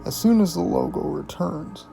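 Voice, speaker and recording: A man's voice: fairly high pitch, lively intonation, moderate pace, gravelly, mellow, faint music behind. A man hosting a video review, reading scripted commentary with some casual, profane asides.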